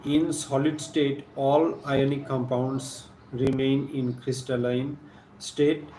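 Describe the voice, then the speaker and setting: A man with a Bangladeshi accent giving a live chemistry lesson, partly reading aloud in a halting, deliberate manner, repeating phrases. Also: mid-range voice, flat delivery, warm tone. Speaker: science teacher hosting a live stream. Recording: echoey room with faint background noise.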